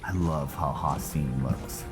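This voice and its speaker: A man's voice: rumbling low, slow pace. A man giving casual let's play commentary, laid-back and musing, often talking to himself as he plays. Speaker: gamer and role-play series host. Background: game music.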